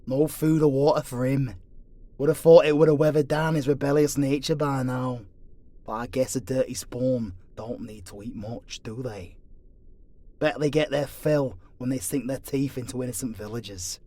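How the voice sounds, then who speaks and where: A man's voice: mid-range voice, normal speed, warm tone, dry recording, close to an everyday voice. A man playing a dutiful, prejudiced angel dungeon guard with a formal, sanctimonious manner, in a fantasy audio roleplay. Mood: scornful, pious.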